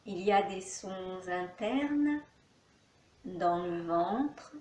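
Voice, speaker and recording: A woman teaching yoga nidra, giving calm, soothing guided relaxation instructions with long pauses in an echoey room. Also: lowish voice, slight background noise.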